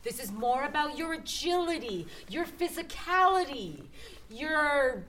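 A brisk, high-voiced casting director. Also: female voice, sing-song, a bit bright, exaggerated voice. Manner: brisk, businesslike.

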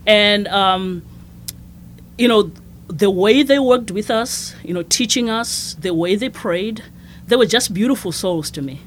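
Soft-spoken woman from Zimbabwe, a nun. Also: medium pitch, unhurried, a little hiss or hum. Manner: soft-spoken, hesitant with many fillers and restarts. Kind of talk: conversational interview.

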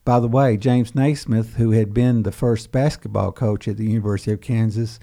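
An older history professor, interviewed as a guest, telling a story unhurriedly with many pauses and fillers. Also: male voice, on the low side, fairly even intonation, vocal fry, mellow.

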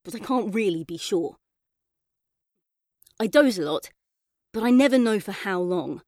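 A woman reading a children's audiobook as a chatty, earnest young boy telling his own story, expressive and colloquial. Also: medium pitch, unhurried, no room sound, studio quality, natural voice. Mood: puzzled, sleepy. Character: boy narrator in a coma, chatty, frustrated, yearning. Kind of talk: first-person children's audiobook narration.

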